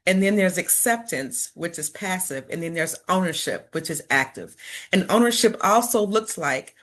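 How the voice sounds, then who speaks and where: Woman speaker, middle-aged, from Chicago, stuttering, earnest, encouraging, fairly low pitch. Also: expressive, moderate pace, a bit bright. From Chicago, United States. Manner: stutters, repeating words and sounds. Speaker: motivational speaker. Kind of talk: personal talk to an audience.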